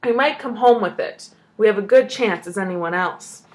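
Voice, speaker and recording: A woman voicing an excitable, kindly old grandfather who bursts into loud, eager shouts. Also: mid-range voice, moderate pace, lightly acted, poor audio. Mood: coaxing.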